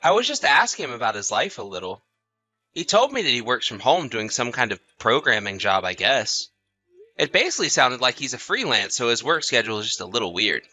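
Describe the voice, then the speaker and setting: Man acting bright, animated easygoing husband. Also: mid-range voice, a little fast, faint music behind. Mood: casual, chatty. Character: easygoing husband, sociable, placating, mildly defensive. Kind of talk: audio drama dialogue.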